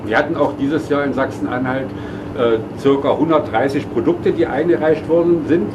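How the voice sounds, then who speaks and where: A man's voice: fairly high pitch, fairly even intonation, normal speed, dull audio, noisy background. A man, an agricultural marketing official, giving a rambling statement for a news report, with frequent filler words.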